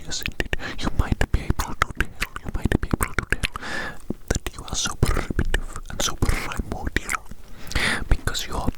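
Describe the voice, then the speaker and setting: A breathy, fully whispered evil scientist played by an ASMR performer dotes on tiny creations. The delivery is slow, fussy and coaxing, with phrases softly repeated over and over in a close roleplay style. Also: close to an everyday voice, low-quality recording. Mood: creepy, gentle.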